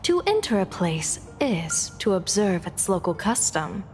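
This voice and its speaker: A woman playing a composed female general and diviner in a video game, polite and measured with dry sarcasm. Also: medium pitch, sing-song, over music. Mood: politely teasing.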